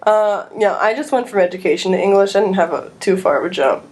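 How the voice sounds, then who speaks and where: A young woman with a middle-pitched voice co-hosts a student podcast. She talks casually in rambling sentences full of "like". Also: expressive, quick pace, a little mellow. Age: young adult. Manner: rambling, frequent "like". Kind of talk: casual chat.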